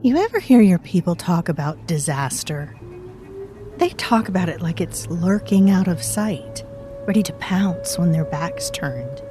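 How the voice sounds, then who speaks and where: A low, mellow voice of an ominous unseen presence, voiced by a woman, narrating an audio drama. She muses darkly and addresses the listener directly, with faint music underneath. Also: very animated, unhurried. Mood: brooding. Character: mysterious unseen presence dwelling in a girl's mind.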